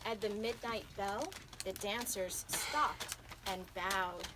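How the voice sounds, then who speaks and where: A woman's voice: mid-range voice, slow pace. A woman reading a picture book aloud to children at a live event, dramatically stretching out key words. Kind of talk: animated picture-book storytelling to an audience. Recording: noisy live reading with audience sounds.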